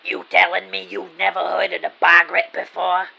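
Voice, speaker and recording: A man voicing a gruff, brash middle-aged woman shopkeeper in an audio drama, with a raspy voice and brusque, slangy, sardonic delivery. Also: high-pitched, completely flat delivery, normal speed, bright, forceful tone, highs cut off, exaggerated voice. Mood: gruff salesmanship. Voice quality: gravelly, raspy. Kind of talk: audio drama character acting.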